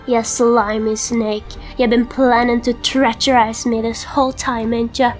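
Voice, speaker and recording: A scruffy little rogue with hero pretensions, voiced by a woman. The talk is gruff, slangy and rough in grammar, pitched high for a fantasy comic dub, with faint music underneath. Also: level tone, unhurried, lightly acted. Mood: suspicious, indignant. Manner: colloquial slurred vernacular with broken grammar. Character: scruffy uneducated thief, gruff, sly, would-be hero.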